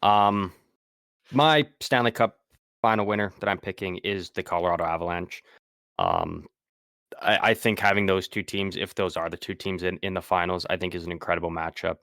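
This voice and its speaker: A man co-hosting a casual sports podcast, talking haltingly with lots of ums while fighting a sore throat. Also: low voice, expressive, creaky, a bit bright, dry recording, clean studio sound. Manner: halting, many ums and restarts. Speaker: podcast co-host.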